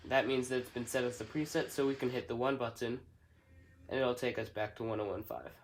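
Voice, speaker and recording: A man giving a casual, somewhat halting hands-on product demonstration, explaining step by step. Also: medium pitch, fairly even intonation, a little hiss or hum. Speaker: hobbyist product reviewer.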